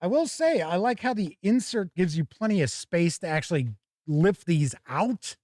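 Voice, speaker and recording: A man's voice: high voice, lively intonation, normal speed, a little mellow, dry recording, clean studio sound. A man hosting a tabletop gaming show, giving chatty, casual live commentary as he looks over products and talks with viewers.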